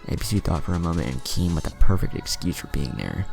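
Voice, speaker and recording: Male story narrator, extremely low and creaky, scripted read over clear background music. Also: sing-song, fast talker. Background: music under the voice.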